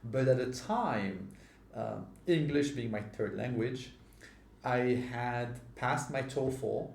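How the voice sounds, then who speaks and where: A podcast host from Morocco with a gravelly, fry-tinged voice. He chats casually and gets animated as his stories build. The room around him rings with a noticeable echo. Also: slow. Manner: animated, grows lively and high when telling stories. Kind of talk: casual conversational storytelling. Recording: roomy echo.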